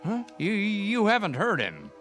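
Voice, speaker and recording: Gravelly old grump in radio drama, scoffing and worried, super animated, faint music behind. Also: male voice, sits high, unhurried, heavily acted. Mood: worried, indignant. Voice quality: creaky, gravelly. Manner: fretful, scoffing, stammering. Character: crotchety, worried old man, stubbornly down-to-earth.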